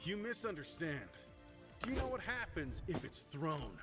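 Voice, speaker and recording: Hot-tempered spear warrior, higher voice. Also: male voice, sing-song, normal speed. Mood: menacing. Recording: faint music behind the voice.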